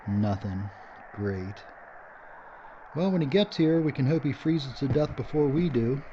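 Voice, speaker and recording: An actor playing a stubborn, sardonic filmmaker facing doom in an audio drama. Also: male voice, medium pitch, lively intonation, a little slow, mellow, natural voice. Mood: grim, wry. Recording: dull, noisy audio with ambience.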